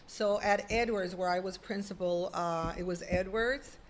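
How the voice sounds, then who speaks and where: A middle-aged woman, a guest on a video-call interview, telling stories in an animated, emphatic way. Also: mid-range voice, unhurried, a little hiss or hum. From Puerto Rico. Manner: animated, emphatic storytelling with lively quoted asides. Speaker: guest, former school principal. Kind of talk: conversational interview.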